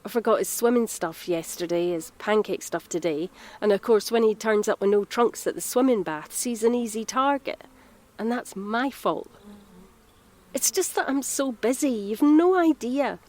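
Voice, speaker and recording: A woman's voice: a little high, normal speed, a little mellow, natural voice. An actress playing a harried, self-reproachful Scottish mother in her thirties in an audio drama, talking in a naturalistic, confiding way. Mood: anxious, confiding. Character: harried, guilt-ridden mother of a nine-year-old boy.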